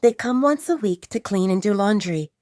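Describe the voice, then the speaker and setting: A woman voicing a stern, protective female werewolf second-in-command in an audio drama, firm and sharp-tongued. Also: medium pitch, animated, normal speed, no echo, clean studio sound, close to an everyday voice. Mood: reassuring. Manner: firm, sarcastic.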